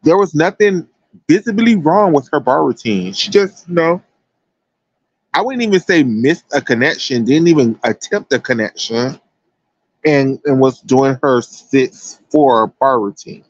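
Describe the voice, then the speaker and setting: A man's voice: a little high, animated, a little slow, dry recording, muffled recording. An American man giving casual, chatty sports commentary as a livestream host, emphatic and slangy.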